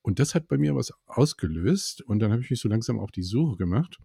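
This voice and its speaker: A man's voice: medium pitch, lively intonation, brisk, gravelly, dark tone, husky, dry recording, studio quality. A middle-aged man telling his faith story in a candid, wry, conversational way.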